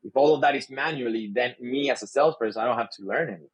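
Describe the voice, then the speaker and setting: Husky man, Spanish first language, earnest sales-leader guest, talks in restarts. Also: mid-range voice, racing, poor audio. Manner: earnest, frequent restarts and repeated words. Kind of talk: casual podcast conversation.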